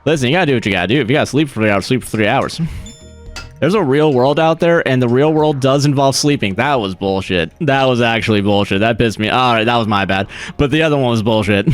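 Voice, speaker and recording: A male game streamer talks casually to his chat while he plays. He swears freely and laughs often between thoughts. His voice sits at a middling pitch, with faint music underneath. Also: fast. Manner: profane, laughs often, chatty asides to chat.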